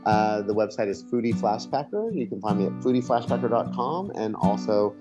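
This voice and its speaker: A man's voice: fairly low pitch, lively intonation, brisk, over loud music. Man chatting over a dull-sounding call. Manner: chatty, laughs at himself. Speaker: podcast guest, food blogger. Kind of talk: casual remote interview. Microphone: Skype call.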